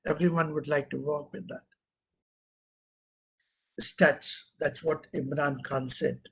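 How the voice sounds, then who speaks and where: A man's voice: a little high, steady tone, slow pace, vocal fry, fairly bright tone, slightly rough. A middle-aged man with an Indian English accent, giving an interactive online lecture, asking questions and repeating key terms for emphasis.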